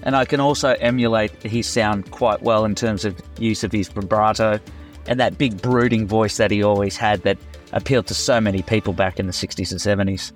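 A man's voice: lowish voice, fairly even intonation, brisk, soft background music. Chatty man, self-deprecating. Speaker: tribute performer and former music teacher. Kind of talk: casual interview answers.

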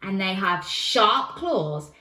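A woman's voice: medium pitch, very animated, slow, bright, forceful tone, reverberant, full character voice. A woman voicing a frightened, earnest small boy in a children's storybook, speaking with sniffly drama. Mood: dramatic warning. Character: lost, frightened small boy, glum and exaggerating.

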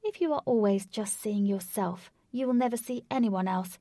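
Woman doing a defiant young lady, loud and highish, bit gravelly, dry sound. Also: moderate pace, no room sound, close to an everyday voice. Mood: insistent. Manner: forceful, pleading, high. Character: defiant young woman confronting her stalker.

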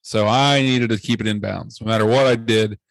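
A man's voice: fairly low pitch, fairly even intonation, moderate pace, gravelly, no echo. A man co-hosting a podcast, telling a story casually with a rambling, hesitant delivery. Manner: rambling, hesitant, many fillers and restarts. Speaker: podcast co-host.

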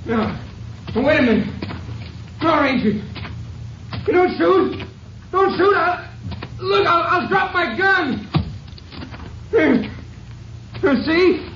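A man's voice: extremely high pitch, lively intonation, takes it slowly, pauses often, fairly bright tone, heavily acted. An actor playing a cowardly, pleading crooked lawman in a 1950s western radio drama. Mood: panicked pleading. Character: cowardly, pleading male killer, crooked constable. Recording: muffled, echoing, noisy old radio recording.

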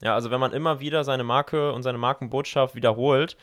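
A man's voice: mid-range voice, steady tone, brisk, a bit bright. A man, hosting a podcast interview in a casual, conversational style.

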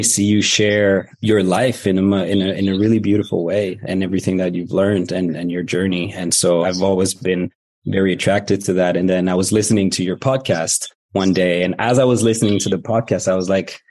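A Canadian man talking as a podcast guest, reflective and quick to laugh. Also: deep voice. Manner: warm, reflective, laughs easily. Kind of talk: casual interview talk.

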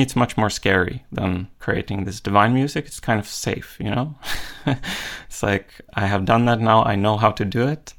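A man's voice: lowish voice, gravelly. A man speaking as an interview guest, a musician reflecting casually and thoughtfully, searching for words and often saying "like".

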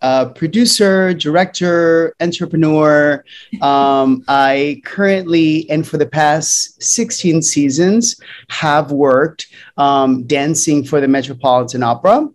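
Man, middle-aged, from Puerto Rico, interview guest, slow, hesitant, lots of ums. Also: a little high. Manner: unhurried, many ums and restarts. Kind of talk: casual interview talk.

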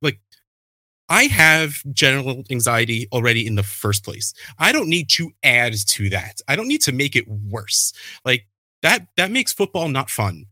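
A man in his thirties, a fantasy football podcaster, chatting casually and telling animated stories with a self-deprecating streak. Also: medium pitch, a little slow, no echo.

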